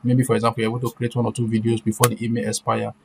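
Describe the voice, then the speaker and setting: Very deep-voiced woman giving a tutorial. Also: completely flat delivery, very fast, vocal fry, noisy background. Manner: repetitive, checking with listeners often. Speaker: tutorial presenter. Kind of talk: informal screen-share software tutorial.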